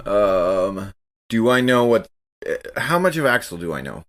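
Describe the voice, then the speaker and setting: A man's voice: fairly low pitch, very animated, measured pace, a bit bright. A man playing a tabletop game on a podcast, talking casually and lightly in character.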